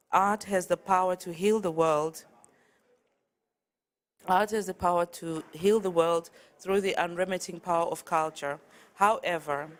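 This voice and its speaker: A tired woman from Zimbabwe speaks earnestly at a conference, in a fairly low voice. She stumbles and restarts a little as she goes. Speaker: conference speaker, artist and curator. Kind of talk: conference talk. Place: conference hall.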